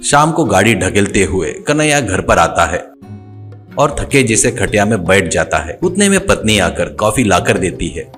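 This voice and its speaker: A man's voice: fairly low pitch, very animated, normal speed, fairly bright tone. A man narrating a scripted moral story as a storyteller. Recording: music under the voice. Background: background music.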